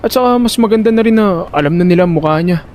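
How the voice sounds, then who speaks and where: A man's voice: high voice, quick pace, darkish tone, a little hiss or hum, natural voice. A man with a Filipino accent playing a panicky, talkative older brother who narrates his own story in an audio drama and gets easily flustered and exasperated. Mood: defensive. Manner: flustered, stammering when pressed, exasperated.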